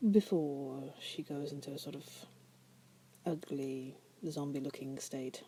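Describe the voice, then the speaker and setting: A woman voicing a bored, manipulative female demon prisoner in an ASMR roleplay, softly teasing and coaxing the listener in a breathy voice that often drops to a whisper. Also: on the low side, full character voice. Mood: confiding. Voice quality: soft, breathy.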